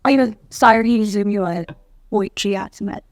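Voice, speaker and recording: A woman hosting an interview, asking her questions in a halting way with restarts. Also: medium pitch, dry recording, studio quality. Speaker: host.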